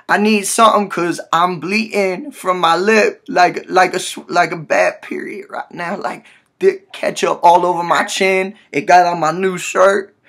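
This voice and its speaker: A man's voice: high voice, fairly bright tone. A man in his twenties playing a hurt, naive young man on a prank call, whining, pleading and stammering as he repeats himself. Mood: pleading, panicked. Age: 20s. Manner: whiny, pleading, repetitive, stammering. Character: hurt, frantic, naive young man with bleeding swollen lip. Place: small room indoors, at a desk.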